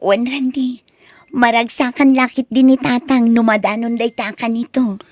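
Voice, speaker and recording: A woman's voice: on the high side, fairly even intonation, moderate pace, darkish tone, no room sound, natural voice. A woman playing a meek, dutiful young college student and daughter in an Ilocano drama. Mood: friendly, coy. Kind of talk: Ilocano radio-style drama acting.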